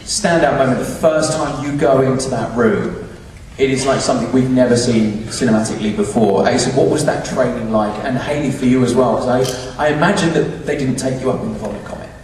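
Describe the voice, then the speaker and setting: A man's voice: mid-range voice, a little fast, darkish tone. A man hosting a live panel Q&A, putting questions in an effusive way. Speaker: Q&A host. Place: large indoor cinema auditorium. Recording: echoey cinema hall, distant, noisy.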